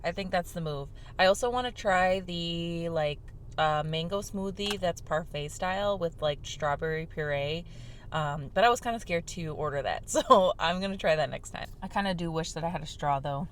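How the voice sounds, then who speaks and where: Soft-spoken young woman, a bit hoarse. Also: lowish voice, normal speed, a little hiss or hum. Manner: talking softly on purpose, filler-heavy and rambling. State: slightly hoarse from shouting the day before. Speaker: vlog host. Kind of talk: casual chat while sipping a drink. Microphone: mic without windscreen.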